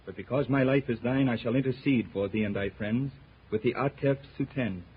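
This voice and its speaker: An actor playing a solemn, loyal warrior leader in a 1930s radio serial, declaiming in archaic, formal speech. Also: male voice, medium pitch, fairly even intonation, brisk, husky. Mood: grave, foreboding. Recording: muffled old 1930s radio recording.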